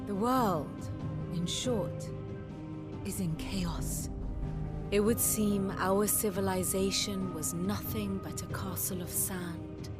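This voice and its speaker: Woman playing a slow, grave fantasy chronicler. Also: mid-range voice, lightly acted. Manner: measured, formal, foreboding. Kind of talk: dramatic scripted fantasy game narration. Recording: loud music behind the voice. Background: loud music.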